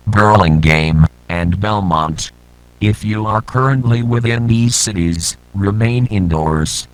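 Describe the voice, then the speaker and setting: A man voicing a stern, impersonal emergency alert announcer, reading formal scripted instructions in a measured, deadpan way. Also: fairly low pitch, natural voice, rough recording.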